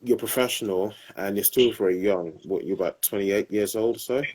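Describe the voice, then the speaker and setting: Male interviewer, deep voice, halting questions. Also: darkish tone, slightly rough, low-quality recording. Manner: hesitant, many ums and uhs. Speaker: podcast host.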